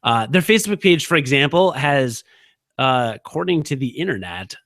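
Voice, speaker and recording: A man's voice: medium pitch, lively intonation, normal speed, a bit bright, no room sound, studio quality. A man co-hosting a comedic podcast with casual banter, sounding stoned, rambling and slangy. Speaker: podcast co-host.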